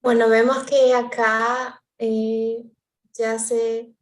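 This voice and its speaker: Host woman from Paraguay, slow, gently questioning delivery, a roomy echo. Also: fairly high pitch, level tone. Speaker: host of a youth Bible study. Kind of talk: relaxed Bible study discussion.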